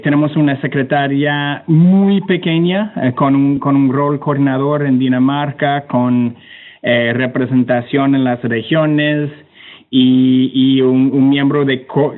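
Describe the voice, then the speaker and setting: Halting Canadian-accented man on a phone-like line. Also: mid-range voice. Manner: halting, hesitant, repeats words while searching for them. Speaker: webinar panelist, climate technology organisation representative. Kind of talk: informal spoken presentation with slides. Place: online webinar call. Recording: narrow tinny phone-like online connection.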